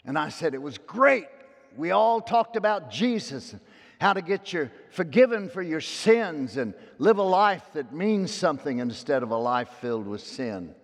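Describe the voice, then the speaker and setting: A man preaching from the pulpit with a loud voice and a folksy, storytelling way of talking. He drops into quiet, confiding asides and then climbs back to ringing, emphatic lines. The long reverb of a big sanctuary trails after every phrase. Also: on the high side, big pitch swings, moderate pace. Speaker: pastor. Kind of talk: sermon. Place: church sanctuary.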